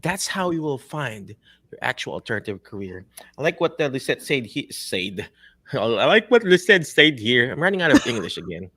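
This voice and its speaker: A man with a Filipino accent hosting a casual interview over a video call, animated and laughing often. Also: mid-range voice, moderate pace, no room sound. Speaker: host.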